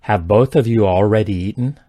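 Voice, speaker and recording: A man reading simple English practice sentences aloud, each one twice, in a careful, even delivery. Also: fairly low pitch, moderate pace, no room sound. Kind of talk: slow repeated sentence reading for learners.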